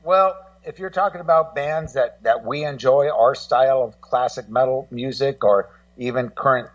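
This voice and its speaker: A middle-aged American man talking casually as a guest co-host in a radio discussion, in a rambling, halting way full of "you know". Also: mid-range voice, distant mic, dull audio. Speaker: guest co-host and rock music journalist.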